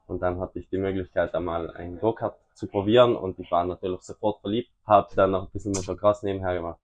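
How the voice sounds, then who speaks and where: Very deep-voiced young man, muffled sound. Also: steady tone. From South Tyrol, Italy. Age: young adult. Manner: halting, many fillers and restarts. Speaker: guest, racing driver. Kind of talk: casual interview answers.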